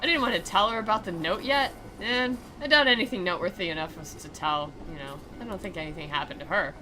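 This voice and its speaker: A woman's voice: mid-range voice, fast talker, pushed and bright, rough recording. A woman doing a playful, theatrical read-aloud of a visual novel, mixed with sarcastic commentary. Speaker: game commentator and dramatic reader. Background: music.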